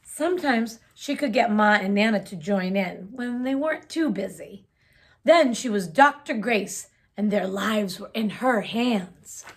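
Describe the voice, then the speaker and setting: Slow, gentle woman reading a storybook. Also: mid-range voice, breathy edge. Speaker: children's story reader. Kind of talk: reading a picture book aloud.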